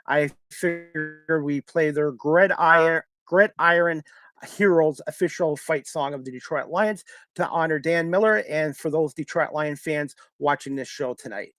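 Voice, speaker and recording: A man's voice: fairly high pitch, dry recording, clean studio sound. A man hosting a sports talk show in a casual announcing style.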